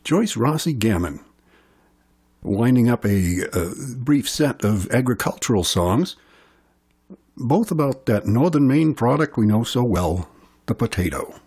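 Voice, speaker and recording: A man's voice: medium pitch, lively intonation, measured pace, a little mellow, slightly rough. A man hosting a radio music show, introducing songs in an easygoing, chatty way.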